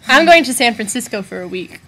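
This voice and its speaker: A teenage girl from Arizona chats into a laptop webcam at home, teasing her co-host with a giggly manner. Her voice sits a little high and sounds bright. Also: lively intonation, brisk, slightly rough. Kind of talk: casual webcam chat. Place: bedroom at home, indoors. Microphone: built-in laptop webcam mic.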